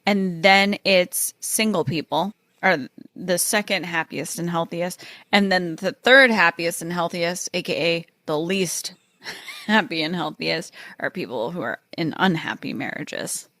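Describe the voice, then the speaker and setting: A woman with a low-pitched voice hosts a casual podcast, walking through research in a chatty, easygoing manner. The sound is close and dry, with no room around it. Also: a little slow, a bit bright, dry recording. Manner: chatty, laughs easily, explains research. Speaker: podcast host.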